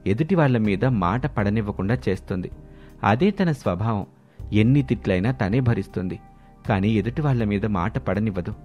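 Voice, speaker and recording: A man voicing an earnest, gentle young husband in storybook dialogue. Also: lowish voice, animated, moderate pace, muffled recording, close to an everyday voice. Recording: music behind the voice.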